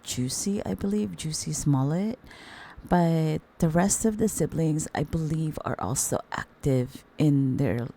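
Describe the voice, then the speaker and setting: A middle-aged woman with a hoarse voice from the flu, chatting casually with her viewers as host of a commentary channel and calling them darlings. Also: animated, measured pace, warm tone, slight background noise. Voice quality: very deep voice.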